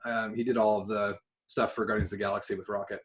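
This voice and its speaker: A man's voice: fairly low pitch, level tone, fast. A man co-hosting a casual podcast chat, speaking his opinions freely with plenty of swearing. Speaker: podcast co-host. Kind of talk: casual podcast chat about films and games.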